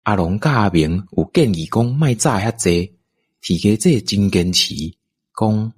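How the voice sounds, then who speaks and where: A low-voiced man with a Taiwanese accent narrates an audio drama. His voice is husky and he reads a scripted story. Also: lively intonation, a little slow, darkish tone.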